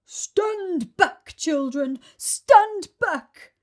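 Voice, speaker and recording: Woman as a loud, bellowing storybook elephant. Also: animated, extremely slow, exaggerated voice. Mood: urgent warning. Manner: booming, emphatic bellow. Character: big, stern, protective male elephant, bellowing.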